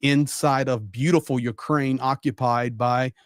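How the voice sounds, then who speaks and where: Male panel commentator, mid-pitched, conversational and emphatic, recorded dry. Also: steady tone, a little slow, no room sound. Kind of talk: live political commentary.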